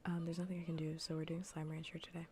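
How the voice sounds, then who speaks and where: A woman streamer chatting casually in a soft, breathy, often near-whispered ASMR style, rambling and repeating phrases. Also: sits low, completely flat delivery, fast talker, a little hiss or hum. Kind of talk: soft-spoken ASMR gaming stream chat.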